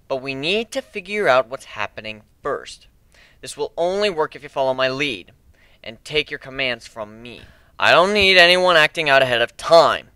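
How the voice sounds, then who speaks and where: Guy playing confident pirate boss in a fantasy tale, assertive, clean forceful voice, close dry sound. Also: mid-range voice, clean tone, no room sound. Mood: commanding. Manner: assertive, reasoning, firm. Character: confident, commanding male pirate crew leader and adventurer.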